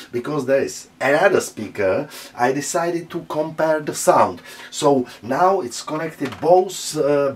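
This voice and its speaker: A man with a Czech accent hosting his own channel, talking casually in halting English as he shows vintage speakers on a table, often stopping with "uh" to find his words. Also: mid-range voice. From Czech Republic. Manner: halting, searching for English words, many "uh" fillers. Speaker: channel host. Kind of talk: casual hands-on speaker demo.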